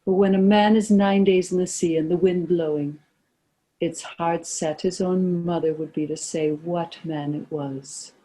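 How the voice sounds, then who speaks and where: A woman's voice: on the low side, fairly even intonation, a little slow, dark tone, close to an everyday voice, rough recording. A woman playing a grieving, weary old mother in a lyrical, lamenting stage drama performance. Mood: anxious, doubting.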